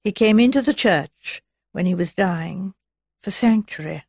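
A woman voicing a polite, thoughtful vicar's wife in an audiobook, soft-spoken, hesitant and genteel. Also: medium pitch, a little slow, vocal fry, husky, dry recording, studio quality. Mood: subdued. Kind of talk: audiobook character voice.

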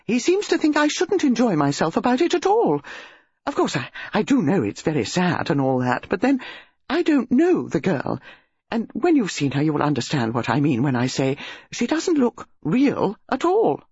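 A reader voicing Mrs Bantry, a breathless, excitable English country lady who gushes about a body found in her library. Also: medium pitch, normal speed, husky, no room sound, lo-fi sound, pitched above the performer's own voice, full character voice. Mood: excited. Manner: gushing, breathless.

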